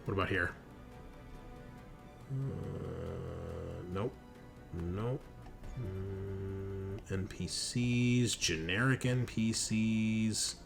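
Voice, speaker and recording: A man's voice: sits low, extremely slow, vocal fry, pauses often. A man running a tabletop game as game master, informal and sweary, thinking aloud as he goes. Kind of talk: casual tabletop game refereeing. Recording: voice over constant music. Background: music.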